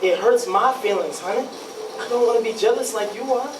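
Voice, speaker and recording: A man playing a smooth-talking, placating lover in a staged play, speaking coaxing Southern folk dialect. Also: very high voice, brisk. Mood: hurt, coaxing. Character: smooth-talking, placating male sweetheart, easily hurt. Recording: roomy, distant mic, noisy.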